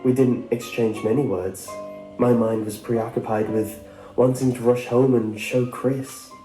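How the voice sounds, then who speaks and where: A man's voice: medium pitch, fairly even intonation, unhurried, mellow, roomy sound, low-quality recording. A man reading a scripted first-person story as a narrator. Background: background music.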